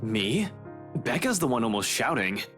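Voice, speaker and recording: A man's voice: mid-range voice, big pitch swings, normal speed, fairly bright tone, soft background music. An actor playing a sarcastic, slightly awkward young man in a video game, with a dry delivery. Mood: dry sarcasm.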